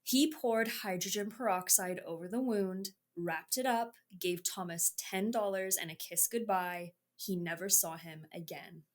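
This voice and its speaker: A woman's voice: medium pitch, measured pace, hardly any pauses. A woman co-hosting a true-crime podcast in casual conversation, with a wry, sarcastic manner and loose, sweary phrasing. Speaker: podcast co-host.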